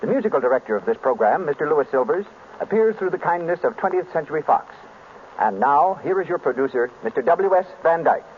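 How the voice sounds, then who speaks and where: A man with an American accent announces formally on a 1930s radio show. His voice is fairly high and very varied in pitch as he reads his script. The sound is tinny and noisy, like an old broadcast. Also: animated, brisk.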